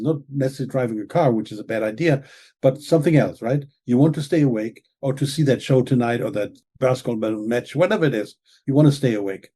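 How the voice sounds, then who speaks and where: Guy lecturing earnestly, dark husky voice, keeps saying okay? right?. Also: medium pitch. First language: German. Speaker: author and guest expert. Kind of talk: explaining in an interview.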